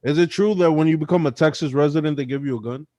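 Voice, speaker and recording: A man's voice: fairly high pitch, fast talker, heavy background noise. A man on a casual panel, talking laid-back street slang with swearing. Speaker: panel guest. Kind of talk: casual chat.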